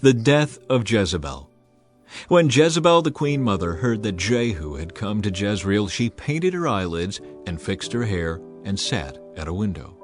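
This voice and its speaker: A man's voice: sing-song, normal speed. A man reading a dramatized Bible passage as narrator, lifting his voice to act out the quoted speakers. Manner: acts out quoted speakers by raising pitch and volume. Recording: soft music bed behind the voice.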